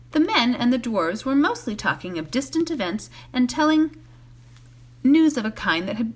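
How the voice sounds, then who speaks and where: Expressive woman reading a story aloud. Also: a little high. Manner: expressive reading with stumbles and corrections. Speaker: volunteer story reader. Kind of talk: read-aloud storybook narration.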